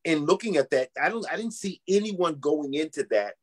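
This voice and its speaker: A man gives casual, thoughtful comments as an interview guest. His voice is husky and slightly high. He talks quickly, easing his way into each point. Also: expressive. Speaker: church apostle, interview guest.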